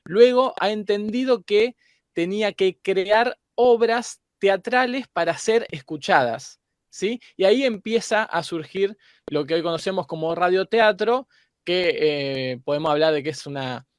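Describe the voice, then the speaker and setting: A man with an Argentine accent speaks in a slightly high voice, recorded dry and close. He explains like a teacher on a panel, pausing and repeating small words as he searches for the right one. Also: clean tone, no room sound. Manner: didactic, hesitant word-searching. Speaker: guest academic.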